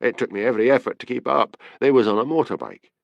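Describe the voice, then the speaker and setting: A man's voice: fast, vocal fry, no echo, lo-fi sound. Man putting on a shifty, eager Scottish informant. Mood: excited, conspiratorial. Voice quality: deep. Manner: excited, matey, colloquial.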